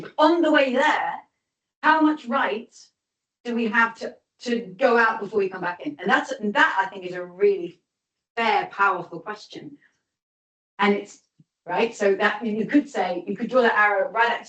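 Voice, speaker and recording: A woman giving a guest lecture and answering audience questions, thoughtful, often restarting and reframing as she goes. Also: medium pitch. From United Kingdom. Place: lecture hall. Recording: echoey lecture hall, mic from far off.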